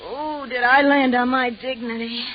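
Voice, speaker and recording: A woman's voice: on the high side, steady tone, moderate pace, over a noisy crowd, close to an everyday voice. An actress playing a brash, wisecracking young city woman in an old-time radio drama, slangy and sarcastic. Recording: muffled, noisy old radio recording.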